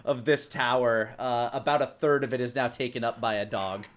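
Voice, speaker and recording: A man's voice: medium pitch, fairly even intonation. Man improvising tabletop game narration. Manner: descriptive, conversational, halting. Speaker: dungeon master.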